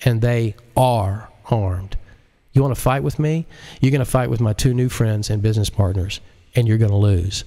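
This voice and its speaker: A man voicing a smug, menacing male computer genius, calm, deliberate and condescending, in an audiobook. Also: on the low side, close to an everyday voice. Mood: smug menace. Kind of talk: audiobook character acting.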